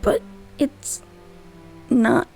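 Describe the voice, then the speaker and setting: A woman's voice: drawn-out words, darkish tone, faint music behind. A woman voicing a frightened, grieving little orphan boy who stammers tearfully, in a storybook-style manga dub.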